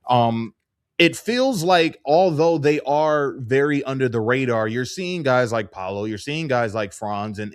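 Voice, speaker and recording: Male sports show host, average pitch, very dry recording, conversational and wordy interviewer. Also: no echo.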